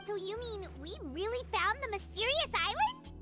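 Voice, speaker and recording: A woman voicing a tiny, excitable, curious fairy companion with a squeaky childlike voice in a video game. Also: moderate pace, loud music behind the voice. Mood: excited. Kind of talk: English video game voice acting.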